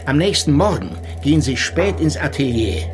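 A man's voice: on the high side, sing-song, moderate pace. A man playing a probing, methodical police chief inspector in a crime radio drama, questioning formally and insistently. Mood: insistent, accusing. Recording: music behind the voice.

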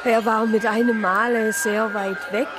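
An actress playing a reflective Protestant sister who narrates a crime story in a radio drama. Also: female voice, fairly high pitch, level tone, a little slow, loud music behind the voice, natural voice. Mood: wistful. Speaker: narrator and lead character.

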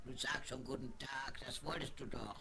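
A woman playing a gruff, menacing old circus woman who mocks people, in a radio play. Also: extremely low pitch, steady tone, moderate pace. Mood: mocking, threatening. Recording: 1970s vinyl radio play, crowd behind.

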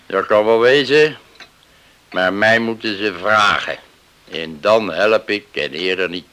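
A man's voice: fairly low pitch, unhurried, pushed and bright. A man playing a stubborn, proud farmer in a Dutch radio drama, curt and noncommittal in manner. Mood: gruff, stubborn.

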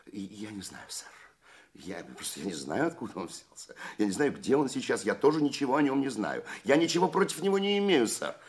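An actor playing an obsequious, easily flustered shopkeeper in a Russian radio drama, hesitant and nervously repeating himself. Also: male voice, mid-range voice, sing-song, fairly quick. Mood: nervous, defensive. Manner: servile, repetitive, hesitant, nervous. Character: flustered, obsequious, indignant male London shopkeeper. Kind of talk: Russian radio play acting.

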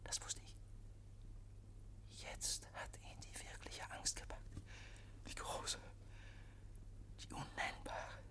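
An actor playing a deranged, obsessive murderer confessing in halting, breathy whispers, as in a 1950s German radio drama. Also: male voice, pushed and bright. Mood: hushed, tense. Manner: halting, feverishly intense, repeating phrases.

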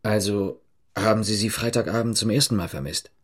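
An actor playing an insistent East German police investigator in a radio drama, asking formal, pressing questions in a slightly husky voice. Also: male voice, on the low side, fairly quick. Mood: probing.

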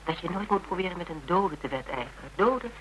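A cool, practical woman in a radio play. Her voice is bright and clear-cut, her delivery conversational, wry and measured as she counsels. The older recording carries some background noise. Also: on the low side, animated, rough recording. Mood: calm, matter-of-fact. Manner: matter-of-fact, wry, measured. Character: Tera, cool practical worldly woman giving frank advice. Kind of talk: Dutch radio drama acting, conversational.

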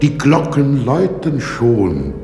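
An actor playing a dry, mocking male jailer in a 1960s radio drama, ironic and unhurried. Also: medium pitch, sing-song, echoey room, in a large hall, music in the background.

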